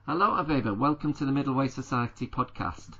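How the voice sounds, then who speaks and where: A man hosting a podcast interview, asking his guest long, measured framing questions. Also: medium pitch, fairly bright tone, husky, muffled recording. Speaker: podcast host. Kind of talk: conversational interview.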